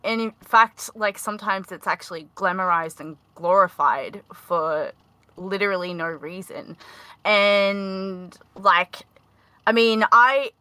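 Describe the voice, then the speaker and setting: A woman with a high-pitched voice talks in a halting, casual way, full of fillers and restarts. Her tone is bright and forward. The sound is dry and close. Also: no echo. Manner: hesitant, many fillers and restarts. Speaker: panel guest. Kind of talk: casual panel discussion.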